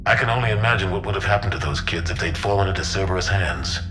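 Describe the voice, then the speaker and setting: A man playing a senior military officer in a game, middle-aged, gruff but warm and weary of war. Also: low voice, fast, noisy background, natural voice. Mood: grave, weary. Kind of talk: cinematic game dialogue.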